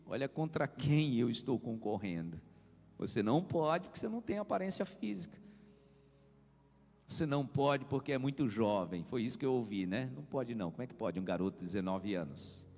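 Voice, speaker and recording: Male pastor, emphatic repeated refrains, raised pitch, echoing hall. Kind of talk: sermon. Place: church hall. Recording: reverberant church hall.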